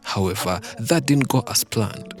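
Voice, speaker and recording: A man narrating a documentary from a script in a steady, measured way. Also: on the low side. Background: background music.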